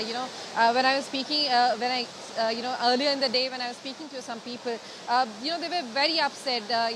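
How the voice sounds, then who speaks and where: A woman with an Indian accent reporting live as a field news correspondent, halting and wordy as she goes. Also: high voice. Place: outdoors outside a hospital mortuary in rain. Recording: noisy outdoor live link with rain and traffic.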